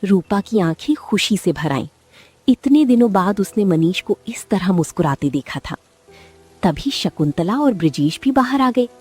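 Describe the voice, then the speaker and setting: A woman's voice: mid-range voice, moderate pace, slightly rough, soft background music. Woman narrating a cartoon story. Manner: steady storytelling.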